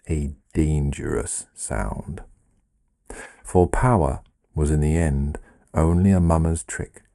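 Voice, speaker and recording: A man reading a literary story as scripted narration, in a measured, deliberate and wry manner. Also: very deep voice, dark tone. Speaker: author reading own story.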